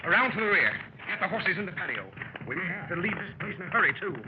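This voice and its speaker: An actor playing a seasoned American adventurer who narrates his story, brisk and commanding, with a husky voice, in a 1930s radio play. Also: sits high, big pitch swings, very noisy. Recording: muffled 1930s radio recording.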